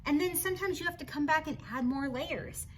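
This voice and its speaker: A woman reading a picture book aloud to children in an expressive, encouraging, teacherly way, adding chatty asides and questions for the listener. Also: high voice, a little fast, a little hiss or hum. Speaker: storybook reader for children.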